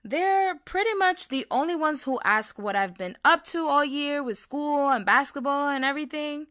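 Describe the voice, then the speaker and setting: A young woman acting a blunt, slangy Puerto Rican high school girl in a naturalistic audio drama. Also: expressive, moderate pace, a bit bright, no room sound, clean studio sound. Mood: warm, encouraging.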